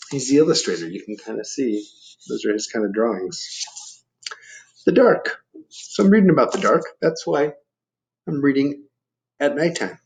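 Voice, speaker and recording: Male storybook reader for children, very varied intonation, gravelly edge, conversational and explanatory. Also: medium pitch, low-quality recording. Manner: explains and asks the listeners questions. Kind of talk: read-aloud for children with chatty asides.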